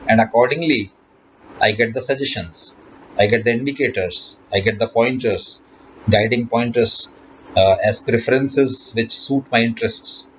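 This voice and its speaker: Man lecturing on a dull, noisy recording. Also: medium pitch. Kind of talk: explanatory lesson. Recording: dull lo-fi recording with background noise.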